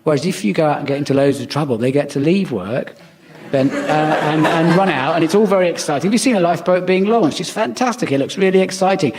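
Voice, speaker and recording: A man ad-libbing comic arguments as a panel guest, excitable and mock-earnest. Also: fairly high pitch, fast talker. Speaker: comedy panel show guest. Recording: very noisy live comedy show recording.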